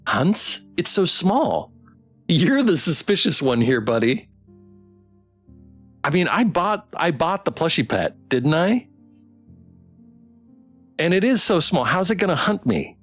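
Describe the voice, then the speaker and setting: A woman's voice: lowish voice, very animated, slow pace, pauses often, slightly rough, soft background music. A woman streaming a game, reacting expressively in casual commentary and reading dialogue aloud. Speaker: streamer playing a game blind.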